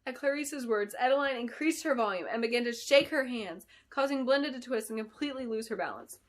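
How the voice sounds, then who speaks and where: A woman narrating a fantasy novel in an expressive, deliberate storytelling style, as an author reading aloud. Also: fairly high pitch. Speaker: author reading her book. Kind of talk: dramatic scripted fantasy narration.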